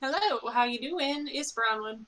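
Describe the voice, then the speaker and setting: A woman's voice: high voice, animated, measured pace, pushed and bright, low-quality recording. A woman player chatting casually and playfully with the group about her microphone. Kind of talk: casual chat between players.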